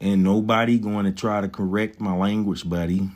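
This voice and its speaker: Swaggering low-voiced man talking stocks. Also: steady tone, moderate pace, background noise. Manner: swaggering, profane, slangy, talks to chat. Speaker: stock market livestreamer. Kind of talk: casual livestream chat about stocks.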